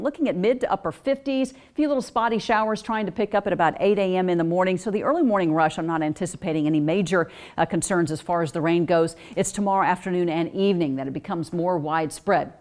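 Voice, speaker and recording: A woman's voice: lowish voice, darkish tone. An American woman presenting a local TV weather forecast in an ad-libbed, explanatory way.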